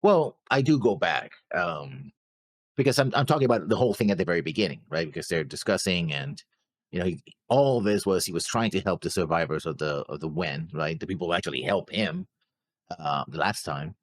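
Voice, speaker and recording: A man co-hosting a reaction show, casually discussing an anime episode in a halting way, often repeating words. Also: low voice, animated, dry recording.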